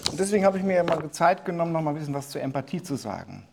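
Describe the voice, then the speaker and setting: Lecturer reading slides, keeps fixing words mid-sentence, middle pitch, loose asides here and there. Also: big pitch swings, very fast, creaky, noisy background. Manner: reads from slides with self-corrections and asides.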